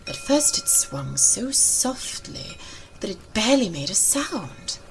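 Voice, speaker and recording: A woman narrating a classic ghost story as a dramatic audiobook, theatrical and suspenseful, with a tendency toward hushed, breathy delivery. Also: mid-range voice, sing-song, slow pace, slight background noise, poor audio. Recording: loud music under the voice. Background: music.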